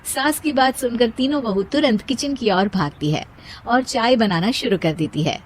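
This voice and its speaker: Female narrator, high-pitched, for scripted children's moral tales. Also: expressive, moderate pace, husky. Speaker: story narrator.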